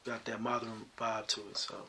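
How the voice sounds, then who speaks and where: A man with a deep talking voice, answering interview questions about his singing in a relaxed, reflective way. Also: normal speed, slight background noise. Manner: relaxed, reflective, many "you know" fillers. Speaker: singer guest.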